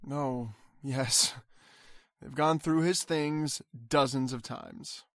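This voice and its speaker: A man's voice: medium pitch, steady tone, slow, fairly bright tone, no room sound, studio quality. A man playing an uneasy, grumbling husband in an old-time radio drama, conversational and hesitant. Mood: resigned. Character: uneasy, grumbling husband in a grand cold house.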